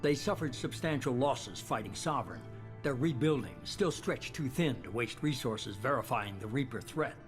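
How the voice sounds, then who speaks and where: Casting: male actor as calculating game mastermind, measured and persuasive, mid-range voice, music underneath. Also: mid-range voice, animated, close to an everyday voice. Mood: assured, commanding. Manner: measured, persuasive, self-assured. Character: smooth, calculating male leader of a secretive organization. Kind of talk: video game cutscene acting. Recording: music behind the voice.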